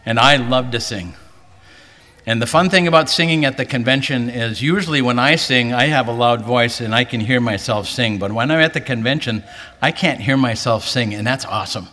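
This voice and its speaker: A man's voice: normal speed, bright, forceful tone. A male pastor giving an earnest sermon to a convention, lifting his voice for emphasis. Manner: earnest, rising pitch for emphasis. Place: large hall, preaching to a convention. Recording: hall reverb.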